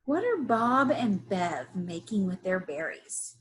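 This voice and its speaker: A woman teacher giving a read-aloud lesson to young children, pausing to ask them questions in an instructional way. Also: medium pitch, very animated, slight background noise.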